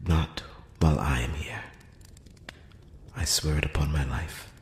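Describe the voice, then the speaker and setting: A man playing a courteous, curious elf prince in an intimate ASMR fantasy roleplay, speaking softly and formally with some hesitation, close to the mic. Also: a bass voice, fairly even intonation, measured pace. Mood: pleased, shy. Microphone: close-up microphone. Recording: roomy long reverb, background hiss.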